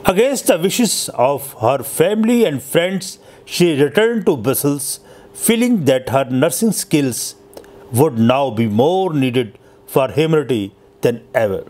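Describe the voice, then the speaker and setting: A man narrating an inspirational historical story as its host, with halting, deliberate phrasing and occasional stumbles. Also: fairly high pitch, animated, a little mellow, slight background noise. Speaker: host telling inspirational true stories.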